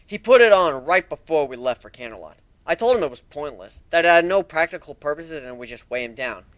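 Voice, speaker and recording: Male story narrator, dramatic, bright forceful tone, lively pitch swings, close dry sound. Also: brisk, no room sound.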